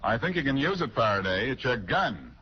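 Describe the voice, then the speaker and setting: An actor playing a breezy, wisecracking amateur sleuth in 1940s radio detective style, flippant and teasing. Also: male voice, mid-range voice, big pitch swings, a little fast, close to an everyday voice. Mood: cocky. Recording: muffled, hissy 1940s radio recording.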